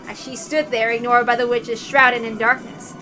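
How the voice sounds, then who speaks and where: High, bright-voiced woman narrating a story. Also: flat delivery, moderate pace, faint music behind. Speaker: story reader. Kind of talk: dramatic narration of a visual novel.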